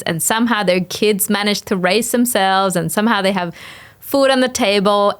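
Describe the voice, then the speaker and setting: A woman from Thailand, around her thirties, answering conversationally and candidly as a podcast guest. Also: medium pitch. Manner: candid, informal. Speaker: podcast guest, business founder. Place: studio.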